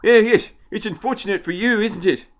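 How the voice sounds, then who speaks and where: A man voicing an elderly, eccentric time traveller, haughty and impish, with a high, tremulous, querulous voice and a fussy manner. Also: fairly quick. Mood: indignant, dismissive. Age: older. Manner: haughty, fussy, hesitant turns of phrase.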